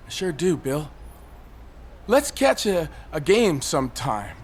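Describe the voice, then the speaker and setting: An unhinged, embittered killer in an audio drama, with a slightly high male voice. He is theatrical and brooding, with a sardonic edge, over faint city ambience. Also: sing-song, slow pace, a little hiss or hum. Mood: sardonic, resigned. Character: bitter, unhinged male killer taunting a policeman from a ledge. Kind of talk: modern audio drama acting.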